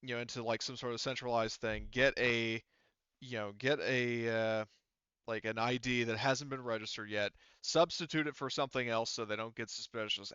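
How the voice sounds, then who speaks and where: A man runs a tabletop game in a smooth, bright voice. He thinks aloud as he makes casual rulings, often pausing and restarting. The sound is dry but a little muffled at the top. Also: medium pitch, no echo. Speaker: game master.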